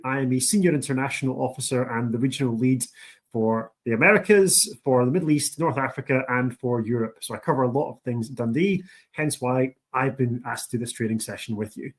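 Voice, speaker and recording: Scottish guy hosting a uni training video, friendly and clear. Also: mid-range voice. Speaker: university international officer.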